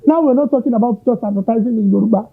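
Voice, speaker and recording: A man's voice: very high voice, rapid-fire, husky. A Nigerian man hosting an interview, asking long, winding questions with Yoruba asides. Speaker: interviewer, host. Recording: tinny narrow-band audio.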